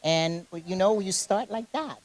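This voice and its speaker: A woman's voice: mid-range voice, unhurried, darkish tone, no room sound. A woman with a Caribbean accent, raised in Haiti, chatting as an interview guest in a gym, laughing often and restarting her sentences. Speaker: interview guest, fitness competitor. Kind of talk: casual interview chat.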